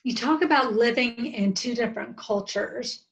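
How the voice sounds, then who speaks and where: A woman of about fifty with an American accent, talking earnestly and haltingly as a guest in an interview, often restarting her sentences. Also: a little high, gravelly. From southern United States. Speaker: podcast guest. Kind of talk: reflective interview conversation.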